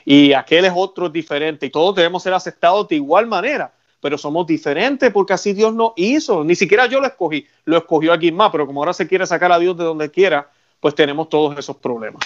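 A man's voice: on the high side, fast, dull audio. A man with a Puerto Rican Spanish accent hosting a talk show, speaking earnestly in a preaching tone with religious references. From Puerto Rico. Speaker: radio show host.

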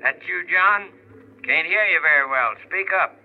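A dull vintage radio recording features a folksy American neighbor with a slightly high voice. He talks in short, casual phrases, chatty and nosy, as country folk do in radio plays. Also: moderate pace, gravelly, a little hiss or hum. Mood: chatty, probing. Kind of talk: 1950s American radio drama acting.

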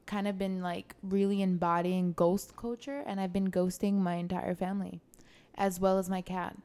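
A woman's voice: on the low side, steady tone, normal speed, darkish tone, clear, resonant tone. A young woman from New Jersey, co-hosting a podcast, joking and hyping up the others. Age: young adult. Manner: teasing, joking, hyped interjections. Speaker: podcast co-host. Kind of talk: casual chat.

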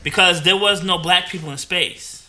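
A man's voice: high-pitched, steady tone, normal speed, crowd noise. A man hosting a casual podcast, joking around animatedly and laughing often. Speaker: podcast host.

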